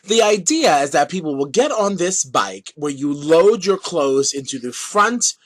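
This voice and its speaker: A man hosting a casual vlog, talking in a sassy, giggly, animated way while he has a cold. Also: fairly high pitch, measured pace. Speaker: vlog host.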